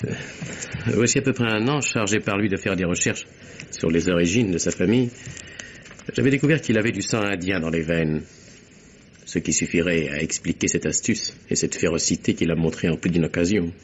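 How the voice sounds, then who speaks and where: Deep male voice, actor playing earnest secretary, measured formal storytelling, old radio mystery, muffled sound with faint hiss. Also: close to an everyday voice. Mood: grave, composed. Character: earnest male secretary recounting a deadly affair. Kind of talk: vintage French radio mystery acting. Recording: dull vintage radio recording, faint hiss.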